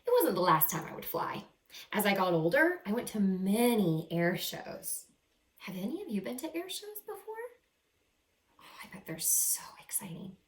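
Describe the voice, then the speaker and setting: Female teacher, expressive story-time read-aloud, animated intonation, chatty asides to kids, echoey room. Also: medium pitch, moderate pace. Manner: animated, acts out characters, stretches key words. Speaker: second-grade teacher reading aloud. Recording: roomy echo, some distance from mic.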